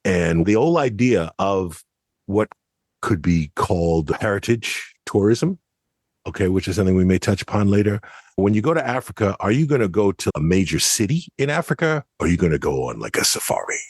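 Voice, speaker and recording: A man from the United States giving measured, scholarly commentary in an analytical podcast discussion. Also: deep voice, expressive, a little mellow, slightly rough, no room sound, clean studio sound.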